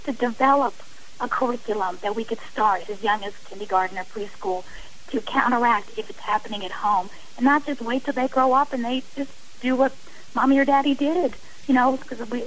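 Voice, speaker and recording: A woman's voice: on the high side, fairly quick, a bit bright, rough recording. A middle-aged woman giving earnest, passionate interview answers as a radio guest, speaking in long emphatic appeals. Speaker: radio interview guest, advocate against domestic violence. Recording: hissy radio interview audio.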